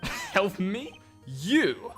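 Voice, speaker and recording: A man's voice: high voice, wildly swooping pitch, very slow, fairly bright tone, faint music behind, exaggerated voice. A man playing a proud, bitter young prosecutor in a melodramatic game fandub, with a haughty, dramatic delivery. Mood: scornful.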